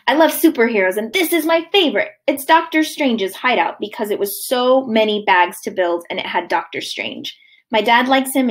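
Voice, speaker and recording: Female teacher, thirties, highish pitch, very animated sing-song read-aloud for young kids, recorded at home. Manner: expressive, sing-song storytelling delivery. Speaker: kindergarten teacher. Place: living room at home, indoors.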